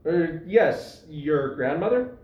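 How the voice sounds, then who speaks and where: Man acting as suspicious police constable, high male voice, formal, indignant, easily bewildered, stage farce, roomy echo. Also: animated, slow pace, phone-quality sound. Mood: sympathetic. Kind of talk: stage farce play reading.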